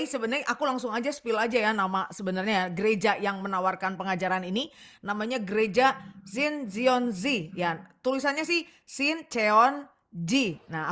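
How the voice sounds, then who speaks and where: An Indonesian woman hosting a casual chat show in a chatty, expressive, giggly manner. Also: a little high. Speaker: talk show host.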